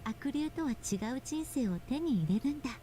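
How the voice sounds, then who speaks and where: A woman voicing a tender storyteller in a game, narrating a fairy tale with gentle phrasing. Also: sits high, expressive, quick pace, noisy background. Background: game music.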